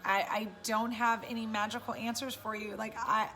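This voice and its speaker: A woman with a raspy voice, chatting casually and encouragingly to viewers on a live stream from home. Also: a little high, flat delivery, normal speed, pushed and bright, slight background noise. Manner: chatty and encouraging, many fillers. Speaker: live-stream host and business coach.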